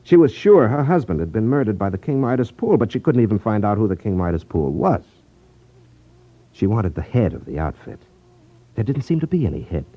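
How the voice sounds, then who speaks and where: An actor playing a confident, wry detective in a 1950s radio drama, explaining things with self-assurance. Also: male voice, fairly low pitch, very animated, a little fast, no room sound. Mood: wry confidence. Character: confident, wry male ex-magician rackets detective. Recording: muffled 1950s radio recording.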